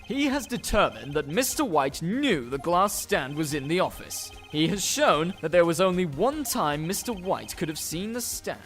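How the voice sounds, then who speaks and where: Casting: male actor for haughty game prosecutor, fairly high voice, animated, pompous formal diction, music behind. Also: moderate pace, hardly any pauses. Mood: pompous, explaining. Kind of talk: fan-dubbed courtroom game voice acting.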